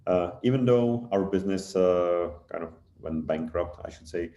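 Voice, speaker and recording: A man's voice: deep voice, normal speed, mellow, echoey room. A man in his thirties with a Czech accent, speaking as a guest at an online company info session, hesitant with many fillers and restarts. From Czech Republic. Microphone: video call.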